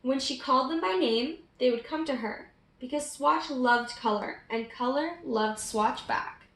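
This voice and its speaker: A woman's voice: high-pitched, unhurried, a bit bright. A woman reading a picture book aloud expressively for young children, engaging them as she goes. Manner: prompts young listeners with questions. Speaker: children's storybook reader. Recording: roomy, echoey sound.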